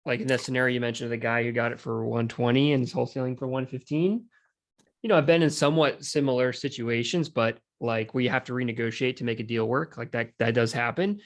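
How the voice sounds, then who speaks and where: A man chatting casually and explaining how he works, in an informal, halting way peppered with filler words. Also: mid-range voice, steady tone. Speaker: real estate wholesaler in conversation. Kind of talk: casual chat.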